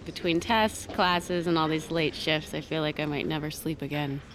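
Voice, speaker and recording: An actress playing a tired, wry young nurse in naturalistic, conversational audio drama dialogue. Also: female voice, sits low, fairly even intonation, normal speed, a little hiss or hum, natural voice, rough recording. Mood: weary.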